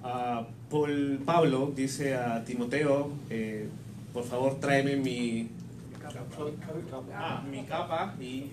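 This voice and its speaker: A man's voice: mid-range voice, extremely slow. Male sermon interpreter in a noisy, echoey room. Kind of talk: consecutive live interpretation of preaching. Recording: echoey room with clear background noise.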